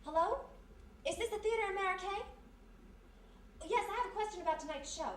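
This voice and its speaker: A woman playing a perky, quick-thinking detective's sidekick with a coaxing manner, in old-time radio mystery style. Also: very high-pitched. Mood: brisk, eager. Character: quick-thinking young female detective's sidekick, former vaudevillian. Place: live performance in a roomy historic house. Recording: echoey live stage, distant mic, hiss.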